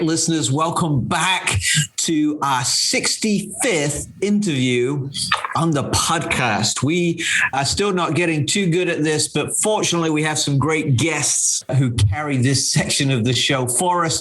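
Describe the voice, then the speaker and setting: Podcast host guy, kinda high voice, sincere but jokey. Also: a little slow, fairly bright tone. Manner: earnest, joking with guests. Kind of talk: casual podcast hosting.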